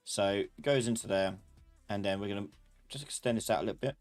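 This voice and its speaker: A man's voice: fairly low pitch, fairly quick. Man giving a casual live tutorial, music behind. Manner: informal, loose step-by-step explaining. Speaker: instructor of a live software class. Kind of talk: casual live tutorial, thinking aloud while demonstrating.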